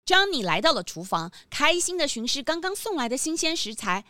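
A woman narrator telling a scripted children's story in an expressive, emphatic storytelling manner. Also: sits high, brisk, no echo, clean studio sound. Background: music under the narration.

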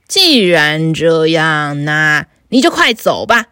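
A woman voicing a gruff, greedy male troll who growls threats from under a bridge, in a storybook reading. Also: sits low, big pitch swings, slow pace, bright, forceful tone, dry recording, clean studio sound, voice pushed down, exaggerated voice. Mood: menacing.

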